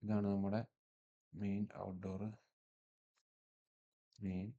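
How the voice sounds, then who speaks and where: A man's voice: low voice, monotone, slow pace, dark tone, highs cut off. A man with a Malayali accent giving an unscripted, matter-of-fact technical walkthrough, one step at a time. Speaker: tutorial presenter, installer.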